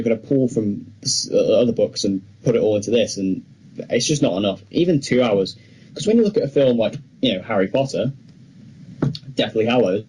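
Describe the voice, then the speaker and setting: A man's voice: mid-range voice, a little mellow, a little hiss or hum, poor audio. A young man co-hosting a podcast, talking casually and chattily about films with firm opinions. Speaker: podcast co-host. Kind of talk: casual film discussion.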